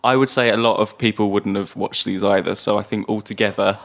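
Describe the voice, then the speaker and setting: A man's voice: on the low side, fairly even intonation, a little fast, a bit bright. Man chatting casually, often chuckling. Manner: chuckles often while talking. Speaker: podcast co-host. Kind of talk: casual chat about films and books.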